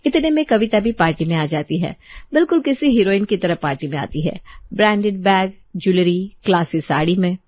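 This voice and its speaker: A woman's voice: lowish voice, animated, fairly quick, no room sound, dull audio. A woman storyteller reading scripted narration for an audio drama.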